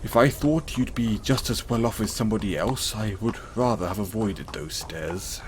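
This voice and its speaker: A man playing a kind, apologetic centaur in an audio roleplay, speaking gently and hesitantly to the listener in a reassuring manner. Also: fairly low pitch, slight background noise, close to an everyday voice. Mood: resigned, sheepish.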